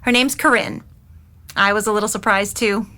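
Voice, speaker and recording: A woman's voice: mid-range voice, steady tone, moderate pace, bright, forceful tone, natural voice. An actress playing an anxious, exacting, well-meaning middle-aged mother, earnest and insistent, in an audio drama. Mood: earnest, worried. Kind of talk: naturalistic audio drama dialogue.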